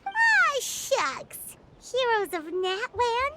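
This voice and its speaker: A woman voicing a tiny, cheerful, excitable fairy companion in a video game. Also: extremely high pitch, very slow, noisy background, exaggerated voice. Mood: excited, amazed. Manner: speaks of self in third person, exclamatory.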